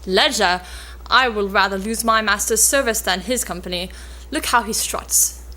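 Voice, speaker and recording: A woman's voice: medium pitch, normal speed, pushed and bright, close to an everyday voice, poor audio. A woman playing a cheeky young page boy in an amateur cold reading of an old stage play. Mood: sly. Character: cheeky young page boy mocking a braggart with feigned awe.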